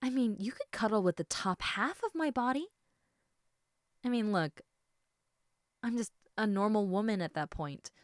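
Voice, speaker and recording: A woman playing a gentle, protective, indecisive snake-woman in an intimate audio roleplay, speaking in a soft, hesitant, caring manner. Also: medium pitch, expressive, moderate pace, long pauses, no echo, studio quality, close to an everyday voice. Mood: tender, worried.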